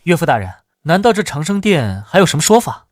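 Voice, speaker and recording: A man voicing a cocky, fearless young hero, swaggering and confident, in an animated fantasy drama. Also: on the high side, fast, dry recording. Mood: reassuring, cocky. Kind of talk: animated xianxia drama dubbing.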